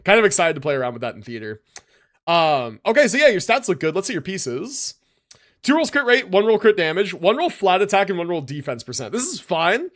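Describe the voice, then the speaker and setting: A man with a fairly high voice and very lively, swinging intonation gives casual livestream commentary. He thinks aloud as he goes, slipping easily between reading out numbers and slangy remarks to viewers. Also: fairly quick. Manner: relaxed slangy talk, thinking aloud, reading stats and chat aloud. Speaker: livestream host reviewing game builds.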